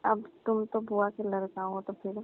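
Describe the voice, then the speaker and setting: A woman's voice: mid-range voice, little pitch movement, moderate pace, natural voice. A young woman playing a coy, teasing female cousin in a casual, colloquial phone chat. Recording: thin narrow-band phone line.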